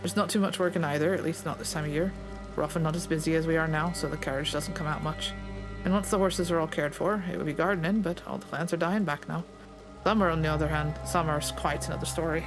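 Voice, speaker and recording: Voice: medium pitch, fairly quick, over music, close to an everyday voice, rough recording. A player reading aloud the lines of a plainspoken Scottish stable master in a game, in a folksy, understated way. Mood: easygoing.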